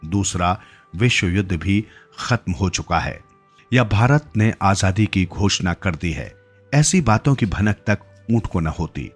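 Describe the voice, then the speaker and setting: A man's voice: low voice, expressive, measured pace, faint music behind. A man narrating a scripted literary story as a storyteller.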